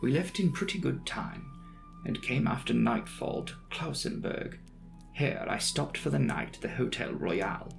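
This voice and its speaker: Man acting earnest young male traveller, audiobook journal entries, precise, inquisitive, very animated pitch, gravelly fry. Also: unhurried, exaggerated voice. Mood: matter-of-fact. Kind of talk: dramatic audiobook reading of a journal. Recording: music behind the voice.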